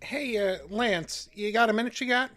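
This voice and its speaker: A man's voice: very high voice, moderate pace, sharp tone, faint music behind. An actor playing an anxious, simple-hearted handyman, folksy and repeating his phrase endings in radio drama style. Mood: hesitant. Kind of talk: radio drama character acting.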